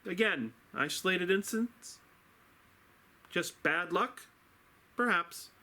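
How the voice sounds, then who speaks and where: A middle-aged man telling an anecdote like a drama teacher, theatrical and wry, with dramatic pauses and emphatic delivery. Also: high-pitched, animated, pushed and bright. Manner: self-deprecating, wry.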